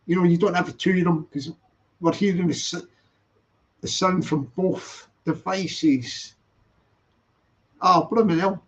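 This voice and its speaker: A man's voice: high voice, a little slow, long pauses. A man talking casually on a live stream, rambling and repeating himself as he answers viewers' comments. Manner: rambling, repetitive, reads viewer comments aloud. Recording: dull lo-fi stream audio.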